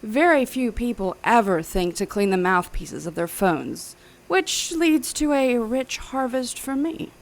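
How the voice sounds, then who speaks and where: Casting: power-hungry woman scientist villain for audio thriller; heavy vocal fry, smug menace, theatrical precision. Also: a little high, expressive, unhurried. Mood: smug, boastful. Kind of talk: audio drama acting, detective thriller.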